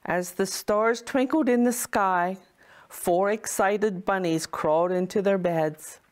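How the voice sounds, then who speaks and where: A woman tells a children's story as a kindly, motherly Mrs. Claus. Her pace is very slow and deliberate, with a medium-pitched voice and expressive phrasing suited to little listeners.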